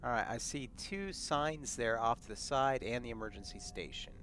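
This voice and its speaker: A man's voice: mid-range voice, moderate pace, a bit bright, a little hiss or hum. A man talking casually as a player in a streamed tabletop role-playing game.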